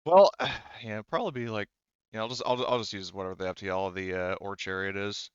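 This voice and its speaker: A man narrating a tabletop roleplaying game as game master, casually and haltingly, rephrasing as he goes. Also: on the low side, clear, resonant tone, no room sound, muffled recording.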